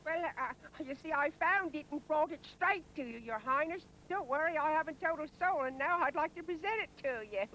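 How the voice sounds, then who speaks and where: Squeaky, fawning cartoon subject. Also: female voice, sharp tone, a little hiss or hum, low-quality recording. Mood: nervous, fawning. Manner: fawning, hurried. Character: nervous, eager-to-please subject presenting a gift. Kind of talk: animated fairy tale voice acting.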